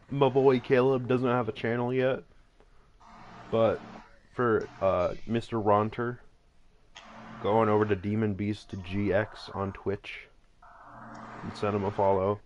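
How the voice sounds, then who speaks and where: A man's voice: lowish voice, a little mellow, dull audio, a little hiss or hum. A man streaming a game with friends, joking loosely and swearing casually. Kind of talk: casual gaming stream chat.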